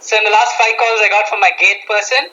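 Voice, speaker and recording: Bright-voiced man hosting a podcast. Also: high-pitched, fairly even intonation, quick pace, dull audio. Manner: talkative, self-mocking, many restarts. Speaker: podcast host. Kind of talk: casual podcast chat.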